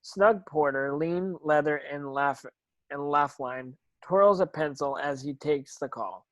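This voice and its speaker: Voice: mid-range voice, takes it slowly, dry recording. A narrator reading screenplay stage directions in an online table read, now and then stumbling and repeating words.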